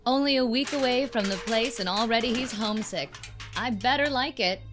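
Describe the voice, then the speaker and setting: A woman's voice: a little high, normal speed, over music, lightly acted. An actress playing a wry, teasing, confident bride-to-be in her thirties in a radio drama, with playful dry banter. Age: 30s. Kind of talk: radio drama acting, naturalistic dialogue.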